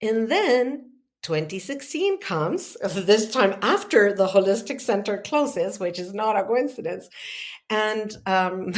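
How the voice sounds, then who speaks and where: A woman from Argentina, a guest on an interview podcast who explains at length in an earnest, heartfelt way, pausing and restarting as she thinks aloud. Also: mid-range voice, expressive. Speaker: podcast guest, healing facilitator. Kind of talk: conversational interview, long explanations.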